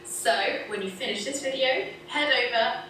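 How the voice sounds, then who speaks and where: A woman's voice: a little high, normal speed, bright, forceful tone, distant mic. A woman with a standard southern British accent hosting a pronunciation lesson video, explaining with cheerful laughs. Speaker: English teacher, video host. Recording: echoey video played in a room, heard from afar with noise.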